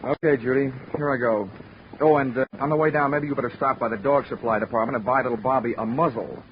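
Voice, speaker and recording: An actor playing a wry, sardonic hard-boiled insurance investigator in a 1940s radio detective drama. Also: male voice, mid-range voice, steady tone, fast, natural voice. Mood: coaxing, exasperated. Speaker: lead actor and narrator. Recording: noisy, muffled 1940s radio recording.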